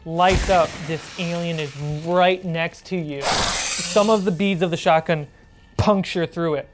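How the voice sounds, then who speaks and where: Low-pitched woman game master. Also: fairly even intonation, a little slow, over music. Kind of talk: narrating a tabletop role-playing game.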